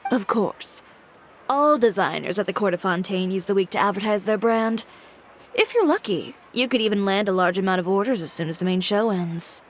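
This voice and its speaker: A woman's voice: mid-range voice, lively intonation, moderate pace, a little hiss or hum, lightly acted. A woman voicing a composed, businesslike female fashion designer and shop owner in a game, dry and matter-of-fact. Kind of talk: game voice acting. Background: game music.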